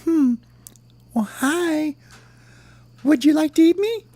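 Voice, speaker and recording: A man voicing a small, eager, cheeky worm in a squeaky falsetto for a children's story. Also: slow, creaky, dry recording, full character voice. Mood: eager, coaxing. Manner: coaxing, excitable, stretched-out calls.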